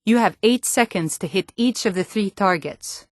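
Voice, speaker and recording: A woman's voice: medium pitch, moderate pace, no room sound, studio quality, close to an everyday voice. A woman voicing a guarded, self-reliant young archery instructor in a video game, plain-spoken and composed. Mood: composed, coaching. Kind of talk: video game character dialogue.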